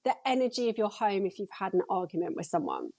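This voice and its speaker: A woman's voice: mid-range voice, huge pitch swings, fast talker, gravelly, dark tone. A woman speaking as a podcast guest, enthusiastic and encouraging in casual conversation. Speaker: podcast guest, energy healer.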